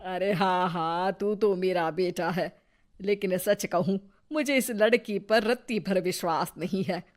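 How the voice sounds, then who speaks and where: A woman's voice: on the low side, a little slow, close to an everyday voice. A woman playing a bitter, suspicious older Indian mother-in-law who taunts and needles in a Hindi moral story. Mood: suspicious scorn. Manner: sarcastic, needling.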